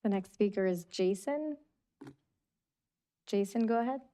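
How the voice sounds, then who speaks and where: Brisk woman clerk calling speakers. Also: mid-range voice, warm tone. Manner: brisk and businesslike. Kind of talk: procedural announcements.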